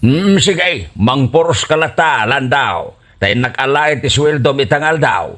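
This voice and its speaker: A man's voice: medium pitch, expressive, measured pace, fairly bright tone. An actor playing a stingy, grumbling older boss with an Ilocano accent, scolding his worker in a radio comedy drama. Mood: exasperated. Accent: Filipino, Ilocano. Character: stingy, scolding male boss of a laborer, an elder.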